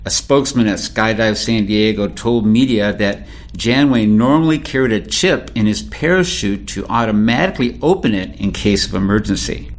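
A man reading a scripted news report on the radio in a measured, sober manner. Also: on the low side, lively intonation.

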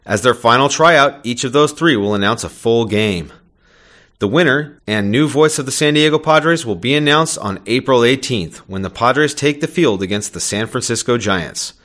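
A man's voice: mid-range voice, expressive, moderate pace, a bit bright. A man reading a scripted local news item on the radio in an upbeat, announcing manner. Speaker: news reporter.

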